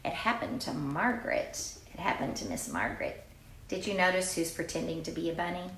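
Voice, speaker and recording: Expressive older woman reading in an echoey room. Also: on the low side, slight background noise. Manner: expressive, exclaiming, drawn-out emphasis. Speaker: storyteller reading a picture book aloud to children. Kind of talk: informal read-aloud with chatty asides.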